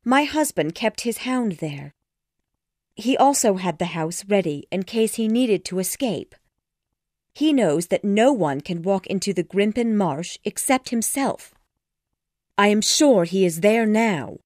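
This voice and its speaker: A man voicing a frightened, battered young wife in a high pleading falsetto, dry close sound. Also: expressive, measured pace, a little mellow, no room sound. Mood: urgent.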